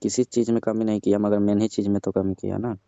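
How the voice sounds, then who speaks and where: A man's voice: lowish voice, flat delivery, fast, gravelly, mellow, no echo. A man on a staged comedy phone call who talks in a grumbling, argumentative way. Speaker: caller. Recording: muffled phone call recording.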